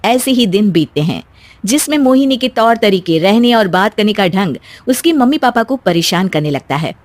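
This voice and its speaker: A woman's voice: mid-range voice, expressive, moderate pace, no room sound. Woman narrating a cartoon story, dry sound.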